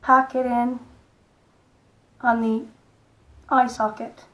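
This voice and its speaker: A middle-aged woman with a croaky voice, gently talking through a makeup tutorial in a soft, unhurried way. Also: fairly high pitch, little pitch movement, poor audio. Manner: soft-spoken, unhurried, stops and restarts gently. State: hoarse, croaky voice. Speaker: makeup vlogger. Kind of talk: casual makeup tutorial talk.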